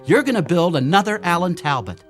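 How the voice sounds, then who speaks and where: A man's voice: high voice, very animated, a little fast, faint music behind. A man in a radio drama playing a troubled android who believed he was human, speaking in urgent, challenging, terse phrases. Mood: desperate, insistent.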